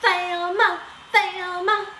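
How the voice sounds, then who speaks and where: Woman playing a high-pitched, excitable dreamy pony. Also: very slow, noisy background, heavily acted. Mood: starstruck, vain. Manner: gushing, dramatic, folksy, addresses listeners as y'all. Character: excitable, vain, dreamy female pony who longs to be a unicorn. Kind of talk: animated first-person storytelling for children.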